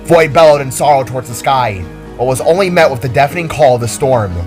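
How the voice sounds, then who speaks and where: A man's voice: medium pitch, quick pace. Man dramatically narrating fantasy over faint music. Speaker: narrator, storyteller.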